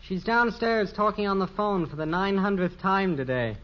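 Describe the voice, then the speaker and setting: A man's voice: high voice, animated, moderate pace. An actor playing a wry, wisecracking American teenage boy in a 1950s radio sitcom, boyish and bemused. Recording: muffled vintage 1950s radio recording.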